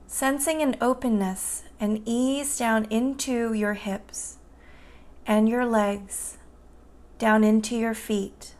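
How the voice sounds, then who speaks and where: A woman guiding a meditation in a soothing, gentle and unhurried manner. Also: a little high, fairly even intonation. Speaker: meditation guide. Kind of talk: guided meditation narration.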